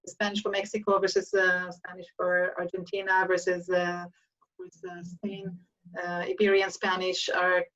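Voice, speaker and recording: A woman's voice: fairly low pitch, completely flat delivery, normal speed, fairly bright tone, recorded from a distance, dull audio. A woman whose first language is Hebrew, interviewing a guest in a loose, rambling way with many restarts and "right?" tags. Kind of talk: casual panel interview.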